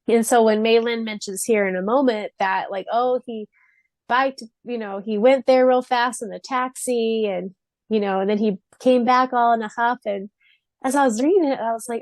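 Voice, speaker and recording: A woman co-hosting a podcast in casual fan chat, expressive and giggly in a chatty way. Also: fairly high pitch, no echo. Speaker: podcast co-host.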